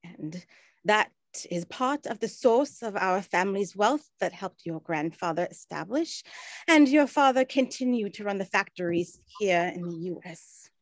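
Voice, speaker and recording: A woman playing an earnest 1920s woman in an amateur scripted play, speaking in a measured way. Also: mid-range voice, expressive, no echo. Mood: solemn. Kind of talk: amateur scripted stage acting.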